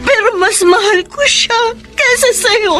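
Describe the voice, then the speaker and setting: A woman's voice: very high voice, unhurried, pushed and bright, soft background music, full character voice. A woman acting an anguished wife in a Filipino radio drama, pleading and emotional, as she leaves her husband for another man. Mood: tearful, guilty.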